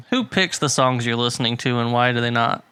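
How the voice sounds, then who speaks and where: A man's voice: mid-range voice, moderate pace, bright, forceful tone, smooth, no room sound. A man co-hosting a casual podcast chat, rambling with frequent restarts and laughing often. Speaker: podcast co-host. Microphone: pop filter.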